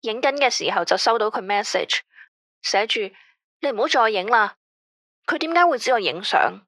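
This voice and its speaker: Anxious, weary caller with a Hong Kong Cantonese accent, higher voice, dry close sound, played by a woman. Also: expressive, moderate pace, fairly bright tone, no echo. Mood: uneasy. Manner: tense, complaining, weary. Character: female caller, anxious about a controlling ex-husband. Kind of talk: radio drama acting.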